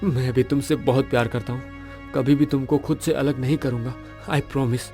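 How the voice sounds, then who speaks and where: A man's voice: mid-range voice, fairly even intonation, normal speed, mellow, lightly acted. A man playing an earnest, brooding young suitor in a dramatic audio romance. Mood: loving. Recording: music under the voice.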